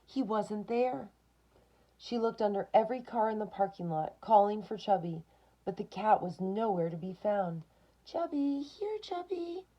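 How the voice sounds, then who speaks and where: A woman's voice: medium pitch, fairly even intonation, moderate pace, warm tone, dull audio. A woman reading a picture book aloud to children, expressively, with short asides of her own.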